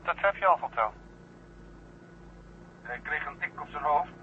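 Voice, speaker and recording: Astronaut calling in over crackly phone-like radio, higher male voice, 70s radio play. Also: animated, unhurried. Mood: grave, reporting. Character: astronaut on a spacewalk calling his crewmate by radio. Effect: radio intercom filter. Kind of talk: 1970 Dutch radio drama acting. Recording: tinny radio-filtered voice, noisy 1970 recording.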